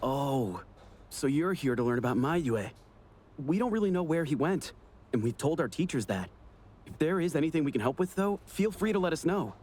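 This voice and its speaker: A man voicing a polite, helpful high school student in an anime game, earnest and explanatory. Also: very animated, moderate pace, a little mellow, a little hiss or hum.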